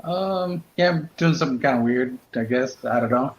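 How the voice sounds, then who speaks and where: A man in casual conversation about comics, explaining things in a tentative, halting way as a panelist. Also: mid-range voice, reverberant, lo-fi sound, a little hiss or hum. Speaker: panelist on a comics drawing show.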